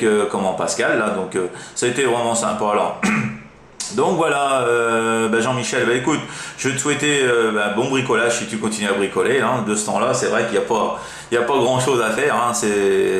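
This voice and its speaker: A man from France with a fairly deep voice talks casually as a radio hobbyist. He rambles hesitantly, padding his phrases with little tags. The room echoes around him and a slight noise sits underneath. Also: a bit bright. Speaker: CB radio operator. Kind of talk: casual amateur radio chat. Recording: roomy echo, faint background noise.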